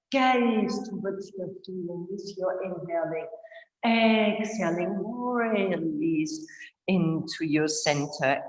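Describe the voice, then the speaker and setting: Slow, unhurried woman guiding breath and yoga. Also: medium pitch. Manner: measured, soothing, counting breaths in short phrases with long pauses. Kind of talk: guided breathing and gentle yoga instruction. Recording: roomy echo, slightly muffled sound.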